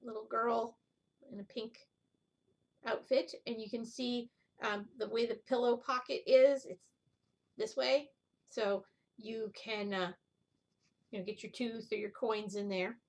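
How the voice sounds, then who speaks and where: A woman's voice: a little high, steady tone, measured pace, pauses often, darkish tone. A middle-aged woman chatting casually as the host of a needlework video, rambling and drifting off on tangents. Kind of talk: casual chat, show-and-tell vlog.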